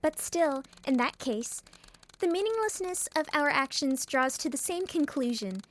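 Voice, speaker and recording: A woman's voice: high-pitched, expressive, measured pace. A woman voicing an articulate, philosophical schoolgirl with an anxious streak in a dating sim fan dub, speaking in a brooding, formal way. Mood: bleak, resigned.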